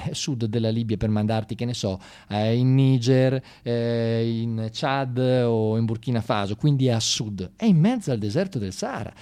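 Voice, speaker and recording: Male stage monologist, mid-pitched, animated and sardonic, conversational storytelling. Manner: animated, swinging from shouted exclamations to hushed asides; sardonic, colloquial. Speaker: theatre monologist. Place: live theatre performance.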